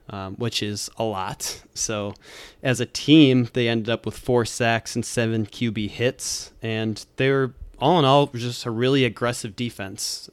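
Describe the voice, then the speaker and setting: A man's voice: mid-range voice, clear, resonant tone, no echo. A man co-hosting a sports podcast, casually breaking things down in an informal, detail-heavy way. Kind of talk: casual sports analysis chat.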